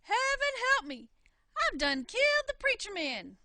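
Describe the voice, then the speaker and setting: A woman voicing a fierce, excitable country widow in a storybook, loud, exclamatory and folksy. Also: extremely high pitch, measured pace, no room sound, pitched above the performer's own voice, heavily acted. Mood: horrified.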